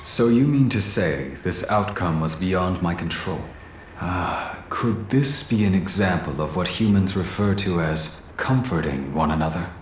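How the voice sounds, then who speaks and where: A man's voice: sits low, measured pace, roomy sound, slight background noise. An actor playing an earnest, dutiful artificial male being who speaks in a formal, precise, slightly stiff way in a game cutscene.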